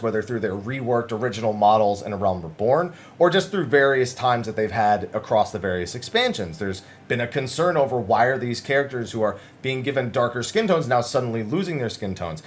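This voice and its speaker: A man's voice: mid-range voice, a little hiss or hum. A man giving casual, conversational news commentary as a video host, talking through summaries with emphatic, wordy asides.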